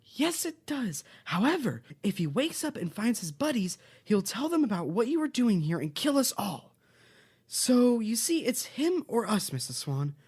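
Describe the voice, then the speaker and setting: A woman's voice: on the high side, very animated, normal speed, a little mellow, husky. A woman playing a cheerful, bossy children's-show host in an audio drama, giving instructions in an upbeat, reassuring way. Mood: firm, persuasive. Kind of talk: audio drama, parody of educational kids' show.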